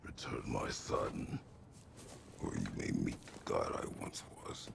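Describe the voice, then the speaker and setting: An actor playing a stern, brooding warrior god and protective father in a video game, speaking tersely and gravely in a gravelly voice. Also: rumbling low, fairly even intonation, slow pace, noisy background, exaggerated voice. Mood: low menace. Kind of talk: cinematic video game acting.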